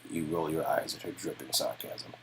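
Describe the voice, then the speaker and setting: A man's voice: rumbling low, fairly even intonation, moderate pace, a bit bright, a little hiss or hum. A man narrating an interactive story game as a playful dramatic read-aloud. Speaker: reader and narrator of a game's dialogue.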